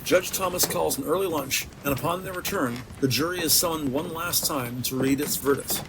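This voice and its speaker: A man's voice: a little high, moderate pace, background noise. A man narrating an audio drama from a script in a descriptive, theatrical storytelling manner. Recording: music behind the voice.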